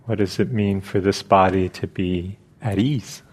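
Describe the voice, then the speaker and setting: A man leading a guided meditation as a teacher, speaking in short, halting phrases with long pauses between them. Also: low voice, steady tone, darkish tone, slightly rough.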